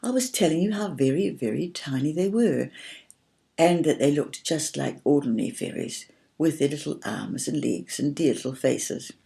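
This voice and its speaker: A woman's voice: low voice, animated, moderate pace, creaky, mellow. A woman voicing a firm, imaginative girl who tells a children's story patiently and dramatically. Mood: firm, wondering.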